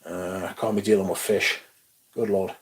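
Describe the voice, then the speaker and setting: A man's voice: deep voice, flat delivery, normal speed. Young bloke rambling on his stream, casual, a bit sweary, reads out chat. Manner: laid-back, swears casually, mumbles asides, reads chat aloud. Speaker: livestream host. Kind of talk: casual livestream chat reading comments.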